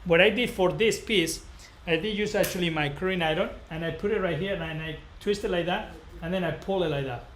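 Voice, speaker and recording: A man with a Spanish accent and a fairly high voice hosts a casual live stream for viewers. He talks in a rambling, halting way, circling back and repeating phrases. Clear background noise sits behind his voice. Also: fairly bright tone. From northern Spain. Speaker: barber educator and live stream host.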